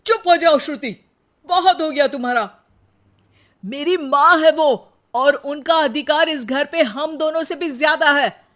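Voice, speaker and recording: Protective, exasperated young husband voiced by a woman, emphatic and fairly high, for a moral cartoon tale. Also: a little slow, fairly bright tone. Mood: angry. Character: exasperated young husband defending his mother.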